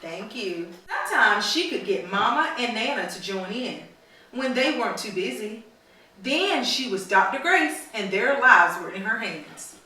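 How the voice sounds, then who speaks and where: A woman reading a storybook aloud to children in a teacherly way, pausing to prompt them with questions. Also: a little high, animated, sharp tone. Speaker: mother reading a storybook to her daughters. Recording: very echoey room, slightly distant mic.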